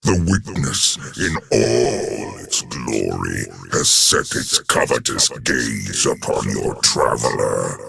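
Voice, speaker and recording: Decadent alien emperor villain: extremely slow, heavily creaking, savoring every pompous word. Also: male voice, sharp tone, heavily acted. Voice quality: deep, gravelly. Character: grandiose, gloating, decadent fallen alien emperor. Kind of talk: video game villain monologue.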